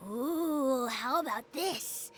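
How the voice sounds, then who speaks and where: A woman's voice: sits high, drawn-out words, music in the background. A woman voicing an eager, playful child in a video game, with an exclamatory, childlike delivery. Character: eager, playful orphan child eager to please guests.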